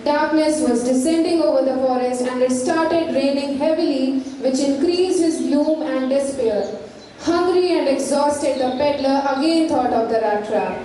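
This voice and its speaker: A woman's voice: sits high, a little slow, warm tone. A young woman reading scripted narration for a stage play. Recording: echoey hall, faint noise.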